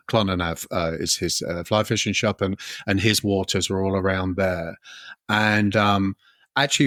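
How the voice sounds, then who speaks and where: A man's voice: low voice, fairly bright tone, no room sound, studio quality. A middle-aged man from London with a London accent, talking about fishing in a casual, rambling way with frequent restarts and chuckles. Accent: London English. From London, England. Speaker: guest, fly fishing author. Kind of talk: casual podcast interview.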